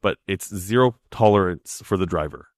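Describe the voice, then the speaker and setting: A man's voice: low voice, normal speed, a little mellow, no echo, clean studio sound. A man in his forties co-hosting a podcast, telling stories in a chatty way with frequent ums. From Canada. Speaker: podcast co-host. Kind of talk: casual chat.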